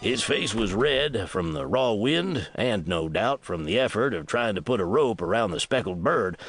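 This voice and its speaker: A man's voice: lowish voice, very animated, normal speed, fairly bright tone, a little hiss or hum. A man with an American accent narrating a scripted western novel as an audiobook.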